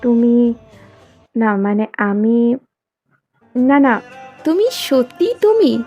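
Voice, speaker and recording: A woman voicing an excitable, nervous young female fan who is starstruck and in love, in a story. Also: a little high, muffled recording, faint music behind, full character voice. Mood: nervous, flustered. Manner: nervous, halting, breathy disbelief when speaking.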